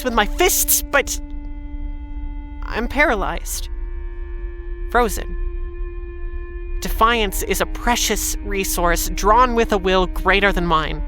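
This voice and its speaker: An actress playing a dazed, frightened, wounded woman who narrates her own story haltingly and introspectively in a cinematic audio drama. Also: mid-range voice, pushed and bright.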